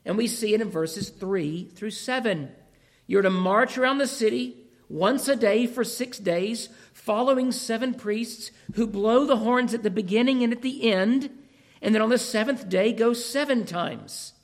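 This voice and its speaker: A male preacher with a fairly high voice delivers a sermon, taking his time over each phrase. His voice is wearing out, and he keeps posing rhetorical questions to the congregation. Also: a little slow. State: losing his voice.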